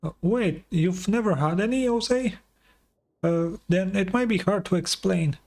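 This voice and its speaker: A man's voice: sits high, a little slow, vocal fry, a little mellow, pitched above the performer's own voice, full character voice. A man voicing a small, chatty, childlike female fairy companion from a video game, exclamatory and inquisitive. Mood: curious, amazed. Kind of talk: video game dialogue read aloud.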